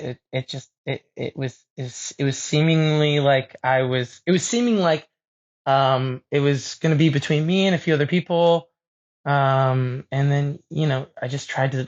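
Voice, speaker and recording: Male actor guest, casual interview, upbeat storytelling, stammered restarts, muffled lo-fi audio. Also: medium pitch.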